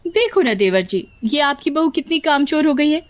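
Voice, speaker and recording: Woman as high-voiced fussy sister-in-law. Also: animated, normal speed. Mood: complaining. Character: complaining elder sister-in-law in the household.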